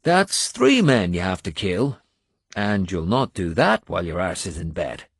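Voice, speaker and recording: A man voicing a blunt, gruff Irish male warrior with an Irish accent, scolding and coarse. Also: sits low, sing-song, a little slow, dry recording, clean studio sound, heavily acted.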